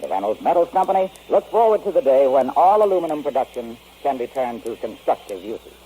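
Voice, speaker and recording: A man's voice: medium pitch, sing-song, brisk, mellow, slightly rough, poor audio. A man reading a scripted vintage radio commercial as the announcer.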